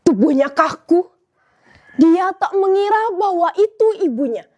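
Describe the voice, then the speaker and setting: A teenage schoolgirl narrating a folk tale for a storytelling contest, dramatic and measured. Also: high voice. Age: teens. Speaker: student storyteller.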